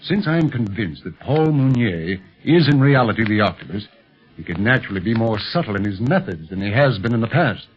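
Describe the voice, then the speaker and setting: A man playing a calm, sharp-minded secret agent and fatherly uncle in a 1930s radio serial, reasoning in a measured, reassuring way. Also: lowish voice, soft background music, close to an everyday voice. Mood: serious, deliberate. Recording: muffled vintage 1930s radio recording.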